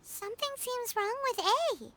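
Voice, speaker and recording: Tiny, very high-pitched childlike fairy girl. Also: very animated, a little slow, fairly bright tone, a little hiss or hum, full character voice. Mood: excited, curious. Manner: exclamatory, curious. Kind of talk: video game voice acting.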